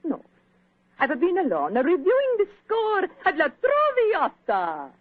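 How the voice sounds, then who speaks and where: A woman's voice: very high voice, huge pitch swings, a little slow, no room sound, full character voice. A woman playing a vain, gushing Italian opera singer with a thick theatrical manner and broken English. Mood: vain, dramatic. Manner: grandiose, effusive, broken English. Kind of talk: 1940s radio comedy-drama acting. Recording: dull, dry old radio recording.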